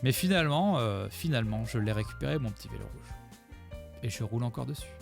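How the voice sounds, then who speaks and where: A man's voice: medium pitch, expressive, fairly quick, vocal fry, over music. A man streaming live, commenting on his own gameplay video with a chat, rambling and joking as he thanks his followers.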